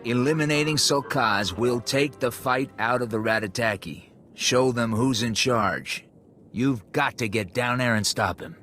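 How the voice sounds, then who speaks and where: An actor playing a grave, businesslike military officer briefing on a crisis in a video game. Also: male voice, mid-range voice, a little slow, a bit bright, faint music behind, lightly acted. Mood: urgent. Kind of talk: video game cutscene acting.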